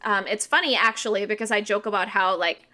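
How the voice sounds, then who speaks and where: A woman's voice: mid-range voice, fast, sharp tone. A woman of thirty talking as a podcast guest in a casual, informal interview style, explaining things with frequent filler "like".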